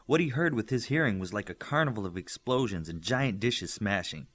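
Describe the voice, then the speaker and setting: A man reading a story aloud as scripted, expressive narration. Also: lowish voice, a little fast. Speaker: audiobook reader.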